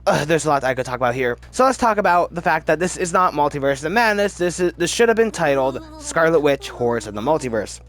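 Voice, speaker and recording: A man's voice: a little high, animated, quick pace, hardly any pauses, fairly bright tone. A man hosting a casual, opinionated commentary video, talking in a rambling, emphatic way and often interrupting himself. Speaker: video host.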